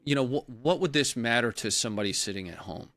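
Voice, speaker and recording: A man hosting an interview, talking analytically and haltingly, with many restarts and filler phrases. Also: medium pitch, lively intonation, fairly bright tone, no echo. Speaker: interview host. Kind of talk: casual interview talk.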